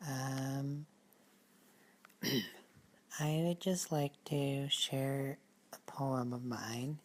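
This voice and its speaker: A woman's voice: very deep voice, fairly even intonation, a little mellow, full character voice. A woman playing a nervous, earnest amateur poet in improvised radio comedy, speaking hesitantly and solemnly. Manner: hesitant, halting, solemn recitation.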